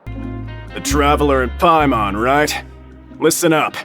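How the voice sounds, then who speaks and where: A man's voice: fairly high pitch, slow pace. A man voicing a brusque prison guard in a video game, giving curt, commanding instructions over faint music. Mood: stern. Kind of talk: video game character acting.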